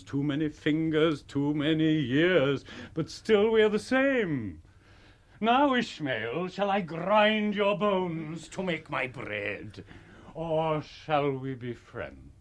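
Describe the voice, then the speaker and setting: An actor playing a huge, gentle, wise telepathic alien in a 1960s radio drama, speaking with solemn, poetic deliberation. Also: male voice, a little high, very animated, slow pace. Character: nine-foot green telepathic alien, gentle, wise and amused.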